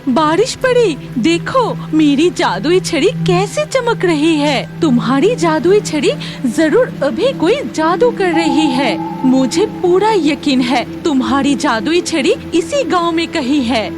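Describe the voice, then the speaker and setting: A woman's voice: high voice, sing-song, slow, over loud music, exaggerated voice. A woman voicing a cautious, observant cloud fairy in a cartoon story, with questioning exclamations. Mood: excited. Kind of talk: Hindi cartoon story dialogue.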